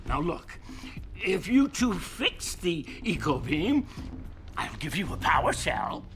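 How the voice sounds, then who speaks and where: A man's voice: fairly high pitch, big pitch swings, slow pace, a bit bright, soft background music, full character voice. A man voicing a flustered, greedy village mayor in a video game cutscene, stammering and wheedling. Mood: fretful.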